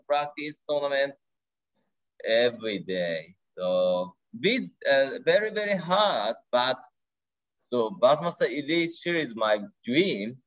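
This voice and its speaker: Excitable Japanese-accented man, slow broken phrases. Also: medium pitch, lo-fi sound. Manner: excitable, short broken phrases, often laughing. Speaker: guest angler. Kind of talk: casual interview. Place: home room. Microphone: video call.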